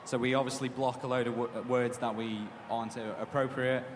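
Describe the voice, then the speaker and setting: A mid-pitched man talks as a panelist, relaxed and a little hesitant. The sound carries a long hall echo with clear background noise. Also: brisk. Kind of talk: live panel chat.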